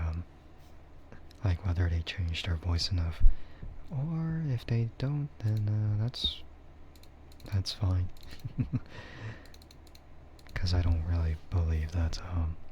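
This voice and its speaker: A man chatting softly in a casual ASMR stream, often slipping toward a whisper, with a rambling, hesitant delivery. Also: low voice, lo-fi sound, a little hiss or hum. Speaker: VTuber streamer. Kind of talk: soft ASMR casual chat.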